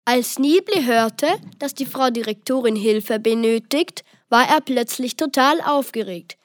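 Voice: medium pitch, a little slow, dry recording, clean studio sound. A child reading scripted narration for a children's story.

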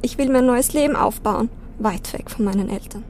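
Troubled teenage girl, acted by a woman, fairly high. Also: a little fast, darkish tone, a little hiss or hum, lightly acted. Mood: despairing. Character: desperate, guarded teenage girl under eighteen, dreaming of studying. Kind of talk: amateur audio drama acting.

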